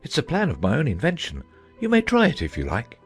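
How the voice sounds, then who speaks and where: A man playing an eccentric, earnest, bumbling old knight in a dramatized audiobook, with a halting, fussy delivery. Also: mid-range voice, wildly swooping pitch, soft background music. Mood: earnest, proud. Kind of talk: dramatized audiobook character acting.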